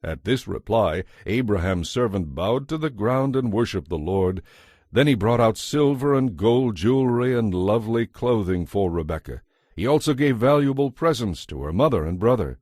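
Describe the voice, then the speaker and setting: Low-voiced man narrating scripture. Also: lively intonation, moderate pace, darkish tone, no echo, clean studio sound. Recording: music behind the voice.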